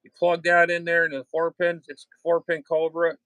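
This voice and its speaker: A man's voice: a little high, monotone, moderate pace, fairly bright tone, no echo. A man hosting a casual hobby livestream, talking in a blunt, slangy way with some swearing. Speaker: livestream host and ham radio hobbyist.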